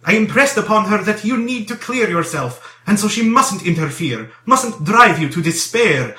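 A kindly old police commissioner, as a male narrator acts him out, rambles on in a fatherly, earnest way. The voice is animated and bright, flustered and coaxing, recorded in an echoing room for a storybook. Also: sits high, normal speed, voice pushed up. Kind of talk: dramatized audiobook character dialogue. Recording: roomy echo.

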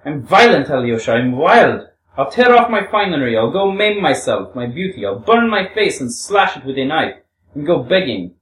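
A woman's voice: medium pitch, very animated, moderate pace, voice pushed up, close to an everyday voice. A woman playing a volatile, wounded young Russian woman in a storybook reading, with theatrical exclamations. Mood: wild, defiant. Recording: roomy, distant microphone.

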